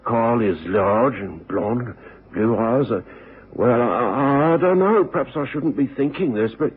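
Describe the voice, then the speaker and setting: An actor playing a kindly, worried older English boarding house keeper who stammers hesitantly in a radio drama. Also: male voice, mid-range voice, unhurried, breathy edge. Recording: tinny old radio broadcast.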